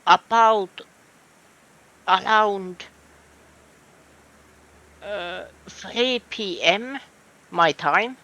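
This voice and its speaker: A man with a Finnish accent speaks at an unhurried pace while commenting on a game. His voice is high and bright. He thinks aloud, hesitating and trailing off. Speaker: streamer. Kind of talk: casual gameplay commentary.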